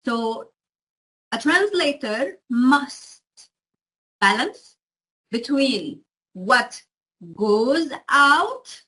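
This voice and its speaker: A woman's voice: sits high, lively intonation, drawn-out words, long pauses, sharp tone, slight background noise, rough recording. A woman giving an explanatory lecture to students, often checking in with her listeners. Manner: frequent check-ins with listeners.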